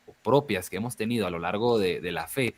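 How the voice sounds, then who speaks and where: A man's voice: on the low side, brisk. A man co-hosting a live talk show, speaking reflectively with frequent hesitations and restarts. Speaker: podcast co-host. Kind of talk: casual live discussion.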